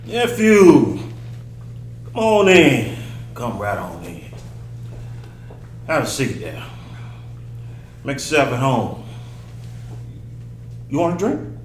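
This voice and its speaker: A man's voice: mid-range voice, very animated, takes it slowly, pauses often. A man playing an eccentric, hard-drinking uncle in a screenplay table read, with a theatrical delivery. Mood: welcoming. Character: disheveled, hard-drinking eccentric uncle who talks to his dead mother. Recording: echoey room, distant, faint noise.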